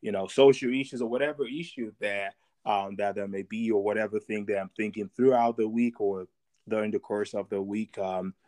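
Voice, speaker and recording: Young man, podcast host, low voice, relaxed rambling chat, many restarts. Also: fairly quick. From immigrant to America.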